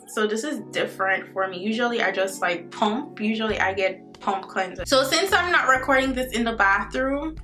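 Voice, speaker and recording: A woman's voice: medium pitch, sharp tone. A young woman in her twenties with a Jamaican accent, chatting upbeat and directly to viewers in a casual skincare vlog, recorded at home on a camera mic. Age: 20s. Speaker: beauty vlogger. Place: indoor room at home, not a bathroom.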